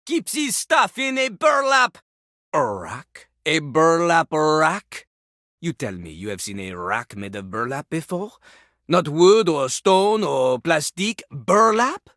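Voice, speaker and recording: Boastful toilet rapper, video game character, bright forceful voice, hugely animated pitch, easily outraged, mocking. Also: female voice, dry recording, studio quality. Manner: brash, mocking, grandiose, speaks of self in third person.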